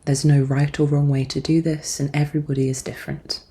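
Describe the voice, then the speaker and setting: A woman therapist leading a guided meditation in a gentle, soothing and measured manner. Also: deep voice, level tone. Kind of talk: guided meditation, soft-spoken instruction.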